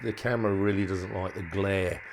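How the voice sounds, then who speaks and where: A man's voice: low-pitched, fairly even intonation, normal speed, background noise. A man giving an informal hands-on demonstration as a hobbyist, thinking aloud in a hesitant way and often trailing off. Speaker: hobbyist presenter demonstrating modified radio gear.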